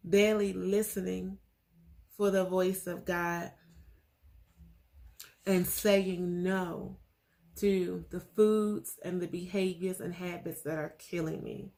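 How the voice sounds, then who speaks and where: Slow, gravelly-voiced woman in her forties. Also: on the low side, level tone, warm tone. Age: 40s. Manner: earnest, halting with many fillers. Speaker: vlogger. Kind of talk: casual faith vlog.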